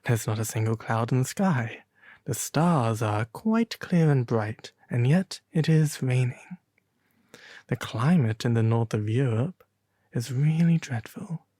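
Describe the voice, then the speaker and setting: A man as a fussy, soft-spoken little swallow. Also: mid-range voice, expressive, unhurried, dry recording, clean studio sound. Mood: put out. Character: small male swallow, vain, fussy, soft-hearted traveler. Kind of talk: soft storybook character acting.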